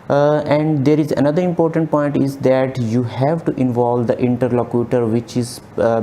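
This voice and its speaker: Man teaching exam tips, mellow voice. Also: mid-range voice, a little hiss or hum. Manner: instructive, repeats key points, frequent uh fillers. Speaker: OET exam tutor. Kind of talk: slide lecture. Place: small indoor room, webcam inset.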